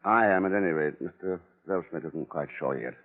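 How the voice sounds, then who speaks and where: A wry, unflappable adventurer from a vintage radio play. His voice sits on the low side and he speaks in dry, laconic lines. The recording is thin, with a narrow band. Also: fairly quick. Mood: suave. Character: cool, wry male adventurer hero, unflappable. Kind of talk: old-time radio drama acting.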